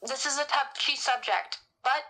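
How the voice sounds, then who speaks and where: A woman's voice: high-pitched, normal speed, pushed and bright, low-quality recording. A woman in an in-character panel discussion, reasoning things out in a matter-of-fact way. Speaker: podcast panelist in character as a researcher. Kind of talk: semi-improvised in-character discussion of a horror audio drama. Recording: music playing behind the voice. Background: music.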